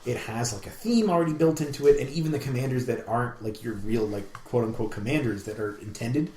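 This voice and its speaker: A man's voice: mid-range voice, quick pace, mellow, a little hiss or hum. A man's mid-range voice, picked up with plenty of room echo. He chats casually as a podcast co-host, enthusiastic and inclined to ramble as he works through his thoughts. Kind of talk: casual chat. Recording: roomy, echoey room sound.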